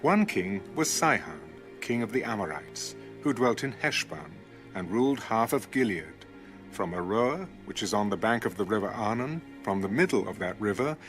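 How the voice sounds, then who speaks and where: A man reading scripture as a scripted audio narration in a measured, formal way. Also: on the low side, animated. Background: background music.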